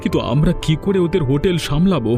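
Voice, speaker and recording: A man as a worried, higher-voiced son. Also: lively intonation, fast, over music, close to an everyday voice. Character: anxious grown son, partner in a village eatery. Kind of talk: cartoon story voice acting.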